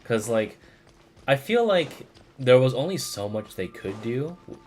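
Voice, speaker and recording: A man livestreaming a game, chatting casually in a rambling, halting way as he searches for words. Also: medium pitch, sing-song, soft background music. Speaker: game streamer. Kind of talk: casual livestream commentary, replying to chat.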